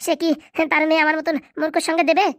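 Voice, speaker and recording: A man voicing an excitable, cheeky young male cartoon buddy, with slangy bantering delivery and a pitched-up effect. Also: rapid-fire, no room sound, clean studio sound. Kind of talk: comedy cartoon dubbing in colloquial rural dialect.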